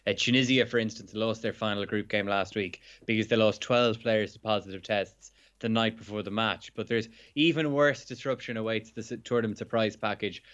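Croaky Irish man on a phone line. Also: lowish voice, fairly even intonation, fairly quick, few pauses, fairly bright tone. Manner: chatty, laughing, with self-interrupting restarts. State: slightly hungover and croaky. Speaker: sports journalist guest. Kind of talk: radio phone-in chat.

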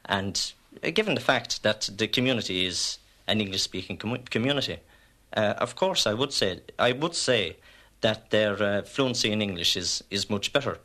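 An Irish man from the west talking about his daughters in a radio interview, measured and thoughtful, with frequent uhs. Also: lowish voice, lively intonation, bright, forceful tone. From West Limerick, Ireland. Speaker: father interviewed at home.

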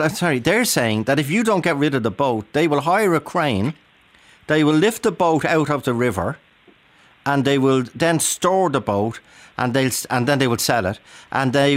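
A man hosting a radio phone-in, probing callers and cutting in with frequent restarts. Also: mid-range voice.

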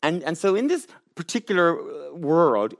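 A man's voice: fairly high pitch, sing-song, normal speed, gravelly. A man hosting a talk show, chatting with guests in a self-deprecating way and often restarting his sentences. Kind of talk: lively interview chat.

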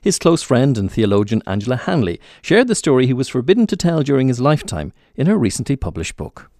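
Lively Irish male radio presenter. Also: medium pitch, fast. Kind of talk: broadcast interview and scripted links.

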